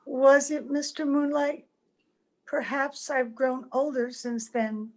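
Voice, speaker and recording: An actress playing a gentle, wistful, mysterious wife in a radio play, speaking with tender care. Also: female voice, high-pitched, steady tone, slow pace. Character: gentle, wistful ageless wife, mysterious visitor, secretly old. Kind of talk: old-time radio drama acting. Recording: home video call with music.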